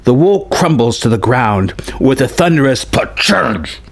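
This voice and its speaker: A man's voice: medium pitch, lively intonation, moderate pace, a little mellow. A man narrating a scripted story in a dramatic style. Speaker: narrator reading a film story treatment.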